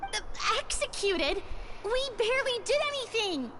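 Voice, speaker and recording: A woman voicing a small, childlike fairy companion in a video game, squeaky, excitable and earnest. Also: measured pace, background noise, full character voice. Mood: indignant surprise. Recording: loud music behind the voice.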